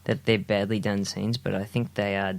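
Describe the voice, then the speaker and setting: Gravelly easygoing man. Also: fairly low pitch, little pitch movement, moderate pace. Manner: easygoing, talks in restarts. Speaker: podcast co-host. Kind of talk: casual film chat.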